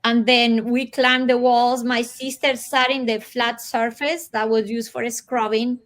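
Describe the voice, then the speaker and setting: Lady telling her story real slow, kinda high voice, thoughtful. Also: level tone. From Colombia. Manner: slow, reflective, with soft laughs and pauses. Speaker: guest. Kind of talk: personal storytelling in an interview.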